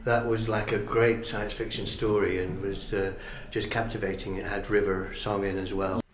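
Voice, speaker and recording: A man's voice: fairly low pitch, little pitch movement, moderate pace. A man auditioning as an amateur actor, moving between monologue and interview chat. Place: university room, audition being filmed. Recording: echoey room, distant mic, faint noise.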